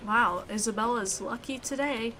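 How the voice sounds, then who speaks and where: Bright, high-voiced woman reading aloud. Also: moderate pace, a little hiss or hum. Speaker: gaming YouTuber reading aloud. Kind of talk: visual novel let's play, dramatic reading with reactions.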